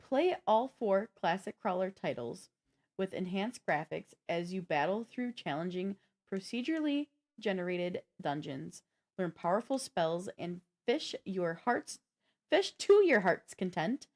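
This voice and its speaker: A woman's voice: medium pitch, very animated, unhurried, a little mellow. A woman co-hosting a gaming news show, talking to her audience in a casual, conversational way while partly reading from notes. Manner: conversational, addresses the audience directly.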